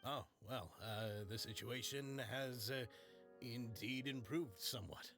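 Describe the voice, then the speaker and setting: An actor playing an awkward, apologetic investor in an anime game, hesitant and stammering with nerves. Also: male voice, mid-range voice, unhurried, fairly bright tone, soft background music. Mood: nervous. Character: nervous, awkward, apologetic male film investor.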